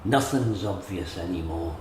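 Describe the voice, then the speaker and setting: An actor playing a gentle, philosophical old grandfather with a Geordie accent, plain-spoken and reassuring, in a radio drama. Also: deep voice, unhurried. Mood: wry, philosophical. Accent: Geordie, north-east England. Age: older. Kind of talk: naturalistic radio drama acting. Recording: roomy sound with faint background noise.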